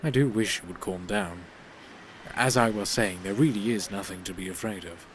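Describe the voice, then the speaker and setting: An actor playing a polite, unflappable, eccentric reaper in an audio drama, speaking formally and with fussy precision. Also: male voice, lowish voice, a little hiss or hum, lightly acted. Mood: calm, fussy. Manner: formal, measured, fussily precise.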